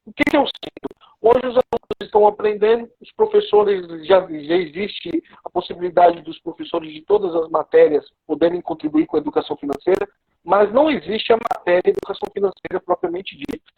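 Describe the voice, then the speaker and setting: Host, male, high gravelly voice, relaxed interviewer over a tinny call line. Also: a little fast. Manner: relaxed, conversational questions. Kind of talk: live online interview. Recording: phone-like lo-fi call audio.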